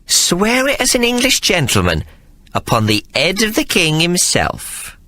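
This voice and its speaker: A man's voice: sits high, huge pitch swings, unhurried, bright, forceful tone, dry recording, voice pushed up, heavily acted. A man voicing a scheming woman nanny in an audiobook, cooing and teasing. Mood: coaxing. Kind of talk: audiobook character voice.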